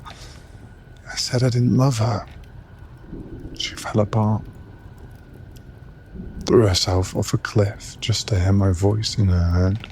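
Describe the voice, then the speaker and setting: A man playing a centuries-old, guilt-ridden vampire in a whispered audio drama, speaking in a soft, measured way. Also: fairly low pitch, steady tone, a little hiss or hum. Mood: rueful. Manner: soft, breathy, measured delivery.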